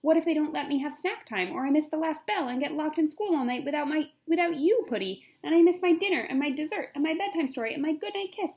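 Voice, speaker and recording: A woman's voice: high-pitched, fast, hardly any pauses, a little mellow, pitched above the performer's own voice. A woman reading a picture book, voicing an anxious little girl of five in a dramatic, earnest, exclamatory way. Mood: panicked, dramatic. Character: anxious five-year-old girl, dramatic, dreading kindergarten. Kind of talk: picture-book read-aloud.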